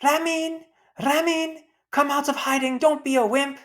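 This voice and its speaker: A woman voicing an eager, outspoken little schoolgirl in a storybook, exclaiming excitably. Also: high-pitched, a little slow, pitched above the performer's own voice. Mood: excited, vengeful.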